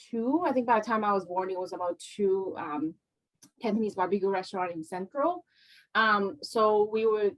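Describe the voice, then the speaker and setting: A woman who grew up in Hong Kong, Vancouver and Los Angeles talks casually about her family restaurant. She speaks in a halting, chatty way, filling pauses with "um" and "like". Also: medium pitch, fairly bright tone, poor audio. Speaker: restaurant co-owner. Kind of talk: casual interview answers.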